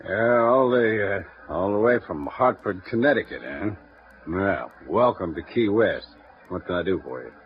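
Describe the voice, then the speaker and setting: An actor playing a bluff, gruff small-town newspaper editor who talks in a blunt, folksy way, in a 1950s radio drama. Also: male voice, low-pitched, animated, moderate pace. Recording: muffled vintage radio broadcast with faint hiss.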